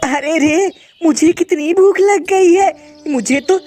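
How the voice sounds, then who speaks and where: A woman's voice: extremely high pitch, slow pace, noisy background. A woman voicing a hungry, brave magical female bird in a cartoon, chatting to herself as she searches for food. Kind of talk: cartoon voice acting. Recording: faint music behind.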